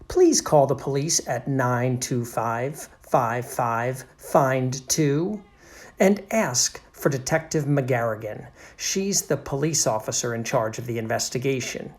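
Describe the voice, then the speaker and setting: A man's voice: medium pitch, darkish tone. A man voicing a flustered, indignant storybook narrator in an exclamatory, emphatic way. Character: flustered, indignant book narrator alarmed by a mystery.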